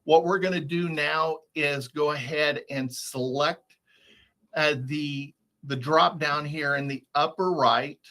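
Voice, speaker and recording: A man with a bright, forward voice leads a software tutorial over a video call. He speaks slowly and a little high for a man, explaining each step in a matter-of-fact, instructional way. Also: fairly even intonation. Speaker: software support trainer. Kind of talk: screen-share software walkthrough, instructional.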